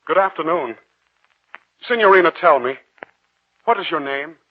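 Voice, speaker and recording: An actor playing an earnest, romantic young student, courteous and measured, speaking and narrating in 1940s radio style. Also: male voice, on the high side, expressive. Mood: smitten, ardent. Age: young adult. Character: earnest, romantic young male medical student, smitten and uneasy. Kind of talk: 1940s radio acting, dramatic first-person narration. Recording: muffled 1940s radio recording with music.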